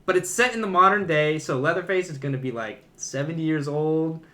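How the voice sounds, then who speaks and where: A man talking casually as a podcast host in a relaxed back-and-forth movie discussion. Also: a little high, fairly quick.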